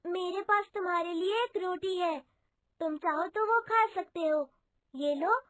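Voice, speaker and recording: A woman's voice: very high-pitched, unhurried, a bit bright, no echo, studio quality, voice pushed up. A woman voicing a brave, kind little girl with an earnest, sweet manner in a children's cartoon story.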